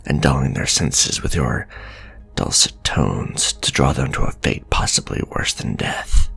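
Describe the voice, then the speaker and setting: A man playing a stern, menacing government agent in an ASMR roleplay, speaking in a pompous, deliberate, accusatory way, half whispered. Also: a bit bright, heavily acted. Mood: stern, accusing. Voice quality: deep, gravelly, breathy near-whisper.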